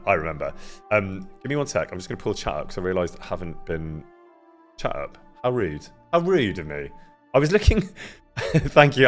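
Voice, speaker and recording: Loud, chatty man live-streaming. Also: fairly low pitch. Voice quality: loud, carrying voice. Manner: chatty, greets viewers by name, laughs often. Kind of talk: casual live-stream chat. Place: indoor room near an event space.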